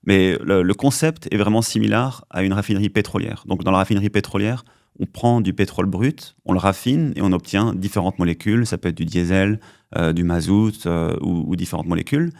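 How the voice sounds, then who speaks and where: A man from western France, a company co-founder, giving measured, explanatory podcast interview answers with frequent hesitations. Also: deep voice, gravelly, darkish tone, no echo, clean studio sound.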